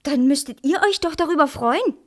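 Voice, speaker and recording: An actress playing a wary, stubborn young princess in a fairy-tale radio play, pert and suspicious in manner. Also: female voice, high voice, a little fast, natural voice. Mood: distrustful, defiant.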